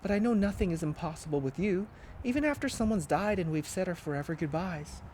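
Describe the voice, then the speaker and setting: A man's voice: high-pitched, animated, brisk, a little mellow, a little hiss or hum, voice pushed up, rough recording. A man doing a grieving, earnest sister. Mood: pleading.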